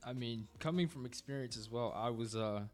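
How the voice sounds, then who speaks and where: A man from New Jersey talks plainly on a podcast, sharing news and his own experience in a matter-of-fact tone. Faint music sits quietly behind his voice. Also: medium pitch, brisk. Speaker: podcast co-host. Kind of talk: casual podcast discussion.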